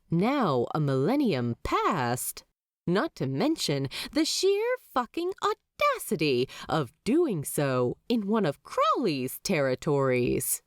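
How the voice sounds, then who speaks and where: A woman's voice: no echo, studio quality. A woman reading fan fiction aloud as a podfic narrator, telling the story expressively. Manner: expressive storytelling with wide swings of pitch.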